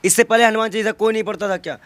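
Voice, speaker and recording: A man's voice: high voice, fairly even intonation, rapid-fire, fairly bright tone, no room sound. A man in a heated political interview, arguing emphatically with rhetorical questions and repeated phrases. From North India.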